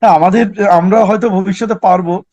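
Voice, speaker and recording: A man's voice: sits high, steady tone, brisk, a little mellow, muffled recording. A man, a student giving polite, hesitant feedback to a teacher. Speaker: student in a tarot class.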